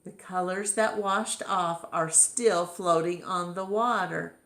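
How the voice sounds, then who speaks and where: A woman's voice: fairly low pitch, fairly even intonation, takes it slowly, fairly bright tone, echoey room. A mischievous, good-humored male elephant from a picture book, as a woman reader puts him on. He speaks cheerfully and theatrically, taking his time over each playful phrase. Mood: sly.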